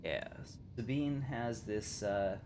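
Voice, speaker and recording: A man hosting a livestream, casually thinking aloud as he plays, in a halting, unhurried, musing way. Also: medium pitch, background noise. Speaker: livestream host. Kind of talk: casual live game commentary, thinking aloud.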